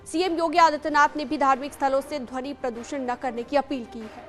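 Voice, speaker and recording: A woman's voice: high-pitched, fairly even intonation, fairly quick, soft background music. A woman presenting live television news as an anchor, in an emphatic, repetitive manner.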